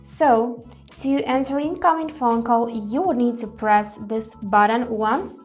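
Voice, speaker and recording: Higher-pitched woman presenting a tutorial. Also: a little slow, a little mellow, faint music behind. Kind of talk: step-by-step product tutorial.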